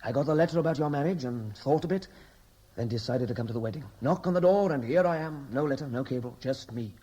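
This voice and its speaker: An actor plays a restless man back home from America in an old radio drama. He is dry, laconic and teasing, with a Welsh accent touched by American. His voice is dark, soft-edged and husky. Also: medium pitch, fast talker, close to an everyday voice. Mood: dry, probing. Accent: Welsh with an American tinge. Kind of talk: 1960s radio drama acting.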